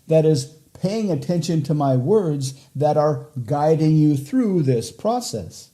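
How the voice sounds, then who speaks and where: A man narrating a guided meditation, giving slow, measured, repeated instructions to focus and notice. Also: a little high, dark tone.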